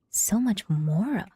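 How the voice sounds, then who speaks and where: Female streamer: friendly, giggly, casual chat, soft dark tone, dry close mic. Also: mid-range voice, husky, no room sound. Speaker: game streamer. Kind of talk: casual livestream chat while playing. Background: game music.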